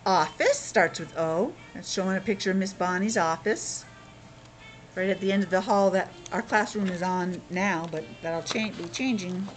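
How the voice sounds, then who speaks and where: A woman's voice: medium pitch, normal speed, faint music behind, poor audio. A woman teaching young children in a playful, exclamatory way, asking questions and sounding out words. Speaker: preschool teacher. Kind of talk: interactive lesson for young children.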